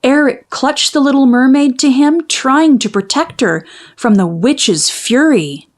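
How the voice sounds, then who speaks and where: A woman narrating a children's storybook in an expressive, measured storytelling manner. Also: sits high. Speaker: children's book read-aloud narrator.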